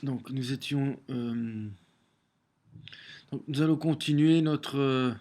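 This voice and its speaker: A middle-aged man with a head cold talks slowly to his camera from a room at home. He stumbles and repeats words often, his voice sitting at an ordinary pitch. The delivery is unhurried and loose, like thinking out loud. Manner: halting, many repeated words and restarts. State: has a cold. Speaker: vlogger sharing daily messages. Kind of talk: casual home video monologue. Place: small room at home, facing a window.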